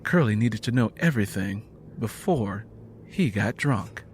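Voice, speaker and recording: A man's voice: lowish voice, animated, unhurried, slight background noise. A man narrating scripted fiction in a dramatic storytelling style.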